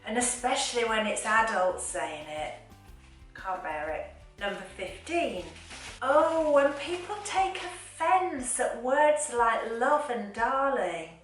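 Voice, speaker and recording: Slow-talking woman in an echoey room. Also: fairly high pitch, animated. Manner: exasperated, wry complaining. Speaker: vlogger. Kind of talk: casual chatty monologue. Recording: very echoey room, voice from a distance, faint music.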